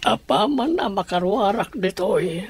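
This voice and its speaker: An actor with an Ilocano Filipino accent playing a troubled, suspicious master of the house in a radio drama, husky and serious. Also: male voice, high-pitched, animated, a little slow, exaggerated voice.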